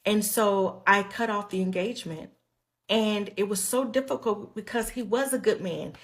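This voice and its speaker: A woman speaks in a testimonial video with a calm, earnest tone. She sounds reflective and measured at an ordinary mid pitch.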